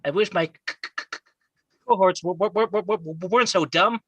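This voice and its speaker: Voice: medium pitch, brisk, noisy background, lightly acted. A performer voicing a bossy, mischievous child henchman with a heavy stutter in a live script read. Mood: irritated. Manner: heavy stutter on first sounds. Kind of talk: live amateur table read of a film script.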